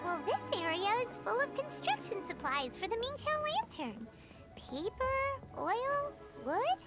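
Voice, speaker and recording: An excitable tiny floating companion chatters about herself in the third person. Her voice sits very high and bounces all over. Game music plays loudly behind her. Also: unhurried, exaggerated voice. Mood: suspicious. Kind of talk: video game dialogue.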